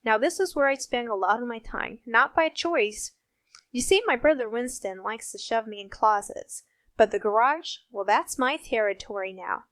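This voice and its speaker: Woman as a high, wry cartoon teen wallaby girl. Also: normal speed, a bit bright, no room sound. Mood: wry, resigned. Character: thirteen-year-old cartoon wallaby girl, wry and put-upon. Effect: AI voice changer. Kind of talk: scripted cartoon narration.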